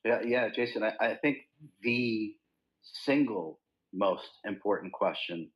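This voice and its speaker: A man, a podcast guest, answering in a measured, reflective way. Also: on the low side, lo-fi sound.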